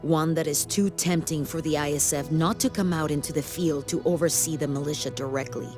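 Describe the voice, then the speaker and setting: An actress playing a stern, authoritative sci-fi military commander in a video game, with formal, clipped briefing delivery. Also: female voice, deep voice, fairly even intonation, brisk, over music, natural voice. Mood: grave, resolute. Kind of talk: video game cutscene acting.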